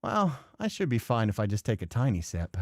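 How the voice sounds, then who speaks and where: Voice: mid-range voice, sing-song, fairly quick, darkish tone, a little hiss or hum. A performer reading a reserved, weary senior male office worker in a visual novel. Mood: reserved, resigned. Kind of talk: visual novel character read-aloud.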